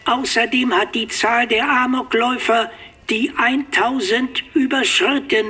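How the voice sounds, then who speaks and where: An actor playing a touchy, pedantic male robot in a radio play, speaking slowly and precisely. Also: very high voice, fairly even intonation, pushed and bright, slightly rough, full character voice. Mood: smug. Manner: pedantic and offended.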